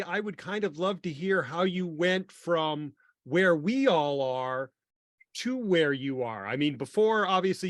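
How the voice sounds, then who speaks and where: Male host on a video call, fairly high voice, dry sound, stammers as he asks his question. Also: no echo.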